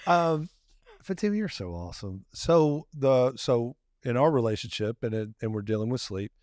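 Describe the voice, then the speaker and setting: A man's voice: mid-range voice, lively intonation, moderate pace, background noise. A man co-hosting a podcast in casual chat who is blunt, gets straight to the point, and affirms others often. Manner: blunt, gets right to the point, frequent backchannel. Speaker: podcast co-host.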